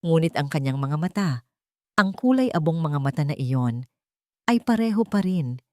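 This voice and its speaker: Low-pitched Filipino woman narrating, dry sound. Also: expressive, moderate pace, warm tone, dry recording. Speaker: storyteller, narrator. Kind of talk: scripted dramatic narration of a romance story.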